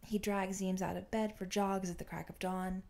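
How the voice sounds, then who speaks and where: A woman's voice: medium pitch, flat delivery, normal speed, darkish tone. A woman reading fan fiction aloud as scripted audiobook-style narration.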